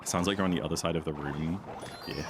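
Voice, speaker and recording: A young man with a deep voice, streaming and chatting easily with viewers in a rambling, relaxed way. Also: quick pace. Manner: rambling, easygoing, full of "like". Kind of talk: casual chat while gaming, answering chat. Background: music.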